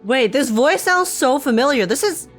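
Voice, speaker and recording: A woman's voice: high voice, expressive, moderate pace, a bit bright. A woman game streamer giving casual reaction commentary, informally thinking aloud and questioning herself. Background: game music.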